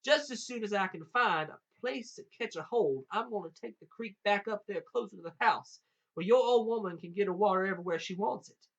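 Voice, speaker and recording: A man's voice: high-pitched, a little fast, fairly bright tone, lo-fi sound, voice pushed up. A man voicing a cocky young trickster boy in a folktale, boasting in a folksy drawl with an Appalachian accent. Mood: boastful. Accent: Appalachian American. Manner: drawling, folksy bluffing, hollering calls.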